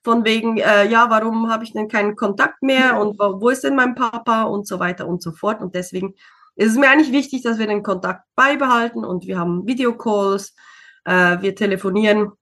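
A woman's voice: medium pitch, brisk, a bit bright. A woman, talking casually as an interview guest, with many fillers and easy laughs. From Switzerland. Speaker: interview guest, single mother.

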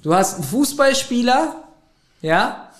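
Animated German man, echoey room. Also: extremely high pitch, unhurried. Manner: animated, argumentative, laughs often. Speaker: podcast co-host. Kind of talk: casual chat, audio drama review.

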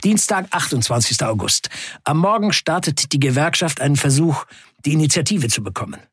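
A man playing a defiant 1970s factory worker on strike, recounting events in a deliberate, declamatory radio play narration. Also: on the high side, animated, a bit bright, slightly rough, no room sound, clean studio sound. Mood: resolute, reporting. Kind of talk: dramatic first-person radio play narration.

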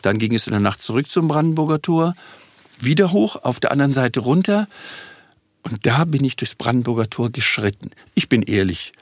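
A man in his sixties tells stories as a podcast guest in a lively, self-mocking way. His voice is mid-pitched and slightly husky. The sound is close and dry, with no room echo. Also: fairly quick. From Berlin, Germany. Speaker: guest actor. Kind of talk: relaxed storytelling in a podcast interview.

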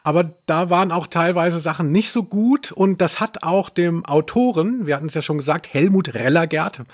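A man with a somewhat high-pitched voice talks casually as a podcast co-host. He speaks conversationally, going into nerdy detail as he discusses his subject. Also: normal speed. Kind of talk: casual podcast discussion about radio plays.

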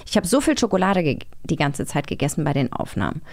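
A woman's voice: sits low, sing-song, fast, creaky, a little mellow. A German woman co-hosting a podcast, bantering casually and reading out quiz claims. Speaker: podcast co-host.

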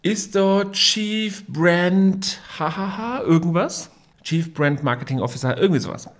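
Chatty man, higher voice. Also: measured pace. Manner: storytelling, many fillers and restarts. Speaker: podcast co-host. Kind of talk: casual business podcast chat.

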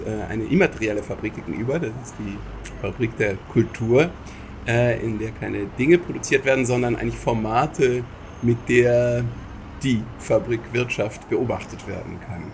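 A man's voice: medium pitch, normal speed, creaky, background noise. A man speaking as an interview guest, explaining things casually and reflectively while searching for his words. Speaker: interview guest, theatre maker.

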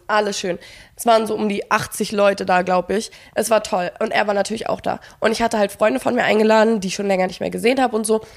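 A young woman rattles through a personal story at a quick clip, her voice at an ordinary middle pitch. She slips into the quoted lines of the people in her story as she tells it, with plenty of fillers along the way. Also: vocal fry. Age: young adult. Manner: fast, animated, acts out quoted dialogue, frequent fillers. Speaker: podcast co-host. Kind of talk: casual storytelling chat.

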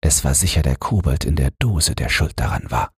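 A man reading a fairy tale aloud as a calm bedtime audiobook. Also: very deep voice, husky, dry recording, clean studio sound. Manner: slow, soothing and even. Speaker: audiobook reader. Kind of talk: calm bedtime story narration.

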